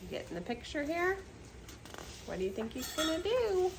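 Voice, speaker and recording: An older woman reads a children's story aloud in a fairly high, very animated voice. She takes her time and speaks expressively to her young listeners as a storytime host. There is noticeable noise in the background. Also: normal speed, gravelly. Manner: expressive, asking the listener questions. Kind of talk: children's picture-book read-aloud with casual asides. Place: seated in a chair.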